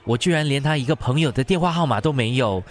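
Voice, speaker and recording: A man's voice: mid-range voice, lively intonation, fast talker, close to an everyday voice. A man acting a frantic, pleading husband searching for his missing wife in a radio drama. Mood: dejected, self-blaming. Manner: pleading, agitated.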